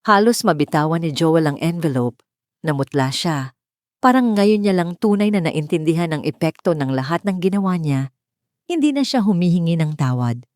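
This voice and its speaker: A woman's voice: low-pitched, expressive, normal speed, a little mellow, no room sound, studio quality. A woman narrating a scripted dramatic story.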